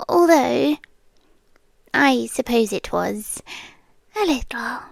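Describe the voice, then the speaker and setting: A woman with a British accent voicing a possessive, doting vampire girlfriend in intimate ASMR roleplay, breathy and often whispering close to the microphone. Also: on the high side, dry recording, heavily acted. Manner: slow, lingering, teasing menace. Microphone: close microphone, dry.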